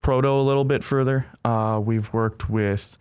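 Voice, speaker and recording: Young guy raised in brazil, earnest and thoughtful, smooth voice, dry close mic. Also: medium pitch, fairly even intonation, moderate pace, a little mellow, dry recording. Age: young adult. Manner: thoughtful, earnest, frequent "right?" and "like". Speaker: guest. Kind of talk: casual conversational interview.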